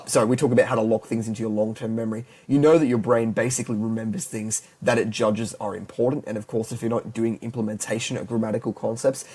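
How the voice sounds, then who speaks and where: Australian guy, mid-pitched, talks quickly, blunt straight-talking language tips. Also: a little mellow, slightly rough. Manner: direct, plain-spoken, with frequent restarts. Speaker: language learning youtuber. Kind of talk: casual talking-head advice.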